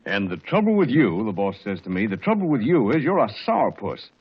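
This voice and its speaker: A self-pitying young salesman in a comic 1940s radio advert. His complaints are indignant and very animated. The sound is that of a dull vintage broadcast. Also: lowish voice, brisk, slightly rough, heavily acted. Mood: sour complaint.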